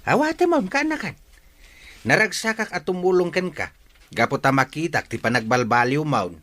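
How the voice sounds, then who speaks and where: Animated Ilocano uncle played by an actor. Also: male voice, on the high side, moderate pace. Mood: proud, encouraging. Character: hearty middle-aged Ilocano uncle, generous and chatty. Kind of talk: Ilocano radio drama acting.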